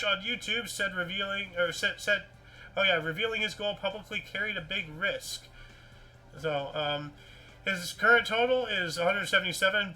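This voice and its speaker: A man hosting a casual news show, reading articles and chatting in a rambling, repetitive, animated way. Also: sits high, poor audio. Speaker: host of a weird-news talk show.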